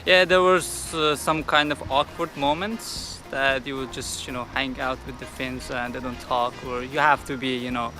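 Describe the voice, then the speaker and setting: A man's voice: medium pitch, steady tone, normal speed, few pauses, music in the background. A young man from Iran, a student giving friendly answers in a casual interview and searching for words. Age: young adult.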